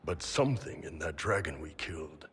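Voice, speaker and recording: An actor playing a huge, bawdy horned warrior in a video game, husky and jovial, relishing every word. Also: male voice, extremely low pitch, lively intonation, normal speed, fairly bright tone, exaggerated voice. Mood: musing. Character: huge horned male Qunari warrior, boisterous, bawdy, dragon-loving. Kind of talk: video game character acting. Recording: hall-like long reverb.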